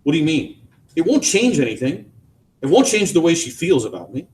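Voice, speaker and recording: A weary father, played by a man reading aloud speaks in a gentle, placating way, tired but pleading. The voice rides high with lively rises. It carries from a little away from the mic. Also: a little fast, distant mic.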